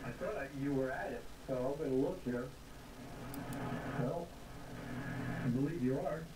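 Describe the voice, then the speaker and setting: A man chatting casually as an amateur radio operator, in a halting, rambling manner. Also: mid-range voice. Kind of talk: casual ham radio chat. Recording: roomy, noisy ham radio contact.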